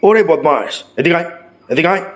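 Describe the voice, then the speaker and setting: A man voicing an excitable, exasperated schoolteacher who scolds and exclaims in a comic cartoon. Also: on the high side, brisk, natural voice. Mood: scolding. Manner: scolding, exclamatory, mock-praising. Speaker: cartoon voice actor. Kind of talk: comic Bengali cartoon dialogue.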